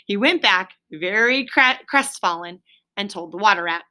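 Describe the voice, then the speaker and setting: Female storyteller, bright and forceful, expressive, explanatory, reading aloud for a young audience. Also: medium pitch, normal speed, a little hiss or hum. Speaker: reader, storyteller. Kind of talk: reading a children's story aloud, with asides to a listener.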